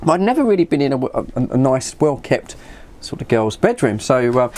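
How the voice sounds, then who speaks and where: A man in his fifties with an English accent, telling a casual anecdote in a stammering, animated way as a vlog host. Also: medium pitch, normal speed. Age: 50s. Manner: animated, stammering, acts out dialogue.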